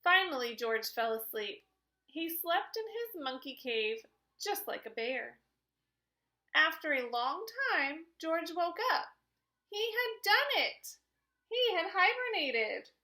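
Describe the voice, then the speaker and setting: A woman reading a children's storybook aloud in an expressive, deliberate, child-directed way. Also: very high-pitched.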